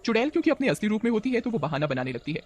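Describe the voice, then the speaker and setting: A man's voice: high-pitched, very animated, racing. A man narrating a horror story as a dramatic storyteller.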